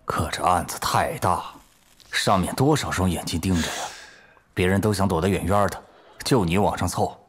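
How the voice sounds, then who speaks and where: Male actor as cautious middle-aged police chief, low husky voice, earnest delivery, audio drama. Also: moderate pace. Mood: concerned, earnest. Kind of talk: Chinese audio drama acting.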